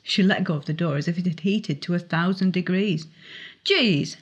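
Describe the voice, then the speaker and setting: A woman's voice: fairly low pitch, brisk, husky. A woman reading her young adult novel aloud as dramatic audiobook narration. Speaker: author reading own novel excerpt.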